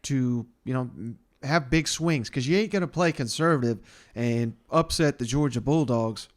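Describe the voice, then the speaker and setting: A man's voice: medium pitch, moderate pace. A man hosting a sports podcast, giving casual commentary in a colloquial, conversational way. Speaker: podcast host.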